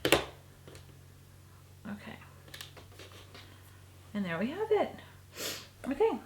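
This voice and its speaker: A woman, sniffly, softly talking through a stitching project in a casual tutorial, often trailing into a half-whisper. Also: sits high, very animated, very slow, a little hiss or hum. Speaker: crafter showing a stitching project. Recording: echoey room recording.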